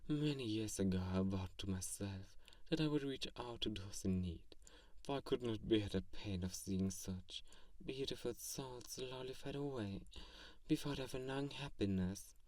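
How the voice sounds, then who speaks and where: Man playing a low-voiced, kindly theatrical vampire. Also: normal speed, no room sound, distant mic, natural voice. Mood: earnest. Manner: soft-spoken, earnest, grandiose, gently teasing. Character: kindly, theatrical, self-styled great male vampire befriending a lonely human. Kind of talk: intimate ASMR audio roleplay.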